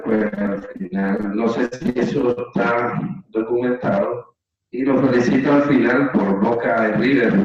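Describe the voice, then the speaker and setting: A man's voice: mid-range voice, a little mellow, slight background noise. A man asking a lecturer long questions, hesitant and rambling with many fillers. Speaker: audience member asking questions. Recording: distant echoey lo-fi call audio.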